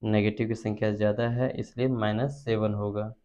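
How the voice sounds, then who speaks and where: A man's voice: on the low side, flat delivery, moderate pace, warm tone. A man with an Indian accent teaching maths step by step, instructional and repeating terms as he works. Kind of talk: step-by-step spoken lesson. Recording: dull lo-fi recording.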